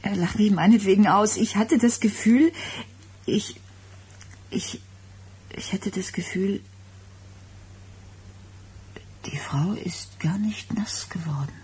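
An actress playing an anxious, self-doubting young expectant wife in a German radio drama, speaking in a halting, hesitant way. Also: female voice, mid-range voice, a little mellow, breathy edge. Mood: unsettled, embarrassed. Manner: hesitant, halting, repeating words. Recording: radio play with background noise.

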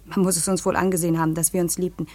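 An actress playing a troubled young widowed aunt, confiding hesitantly and earnestly in a 1950s German radio play. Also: female voice, lowish voice, level tone, racing, warm tone, lightly acted. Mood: sorrowful, confiding.

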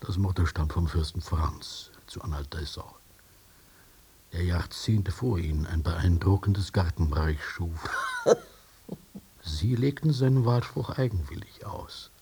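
Husky, low-voiced dream interpreter. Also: male voice, mellow, heavily acted. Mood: dryly challenging. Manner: measured, dryly ironic. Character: calm, probing male dream interpreter, quietly mocking. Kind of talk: German radio play acting.

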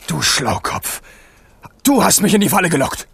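A man's voice: fairly high pitch, sing-song, normal speed, gravelly, fairly bright tone, breathy edge, exaggerated voice. An actor playing a wary, hot-tempered young captive king in a radio play. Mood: accusing. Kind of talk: 1970s radio play acting.

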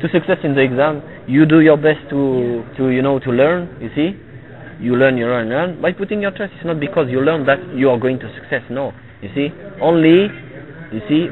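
A middle-aged man teaching a religious lesson to a live audience, halting and repeating himself and often checking with "you see?". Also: mid-range voice. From Tunisia. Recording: phone-like lo-fi recording with crowd noise. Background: listeners gathered in the room.

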